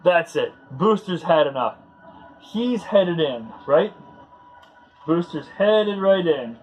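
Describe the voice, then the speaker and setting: A man's voice: fairly high pitch, expressive, takes it slowly, slight background noise, low-quality recording. A man hosting a comic book read-along, giving casual commentary in a rambling, conversational, fan-like way.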